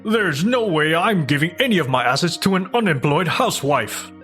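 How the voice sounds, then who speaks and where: A man's voice: a little high, expressive, normal speed, fairly bright tone, soft background music. A man playing a controlling, scornful older husband in a manga dub, blustering as he speaks. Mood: shocked, indignant. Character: controlling, arrogant older husband, a retired teacher. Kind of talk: acted manga dub dialogue.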